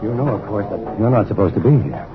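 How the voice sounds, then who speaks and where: An actor playing an earnest, determined male scientist in a vintage science fiction radio drama, talking briskly and resolutely. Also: lowish voice, expressive, music in the background, lightly acted. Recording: muffled, noisy old radio recording.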